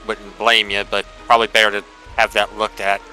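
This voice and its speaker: A man's voice: fairly low pitch, level tone, brisk, bright, forceful tone, low-quality recording. A man playing a tabletop game, speaking in casual in-character banter. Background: background music.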